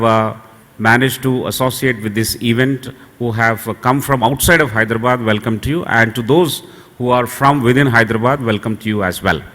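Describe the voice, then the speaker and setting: A middle-aged man with an Indian English accent giving a measured, anecdotal keynote at a conference, pausing often with "uh". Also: medium pitch, fairly bright tone. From southern India. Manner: anecdotal, measured, frequent "uh" pauses. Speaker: conference speaker for a university. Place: large conference hall. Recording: long hall reverb from a venue PA.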